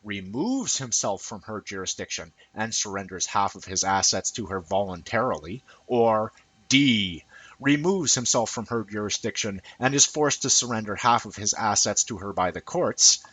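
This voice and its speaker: A man reading a written essay aloud in an emphatic, rhetorical manner with deliberate phrasing. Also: lowish voice, animated, a bit bright, slightly rough, no echo, low-quality recording. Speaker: reader of an essay. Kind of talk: scripted essay reading, audiobook-like narration.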